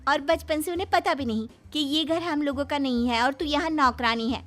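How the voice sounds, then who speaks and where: A woman voicing a hopeful, excitable young woman, a maid's daughter, in a girlish, coy and eager cartoon manner. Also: high voice, fairly quick, soft background music. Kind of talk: Hindi moral-story cartoon dialogue.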